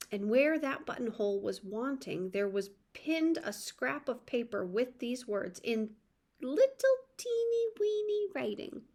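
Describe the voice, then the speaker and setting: A woman reads aloud to children as a storyteller. Her voice sits fairly high and she takes her time, very slowly and expressively. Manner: expressive, addresses young listeners.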